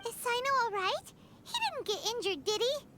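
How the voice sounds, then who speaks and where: A woman's voice: very high voice, very animated, normal speed, background noise. A woman voicing a tiny, childlike companion sprite in a video game, cute, eager and caring. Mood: worried. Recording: loud game music behind voice.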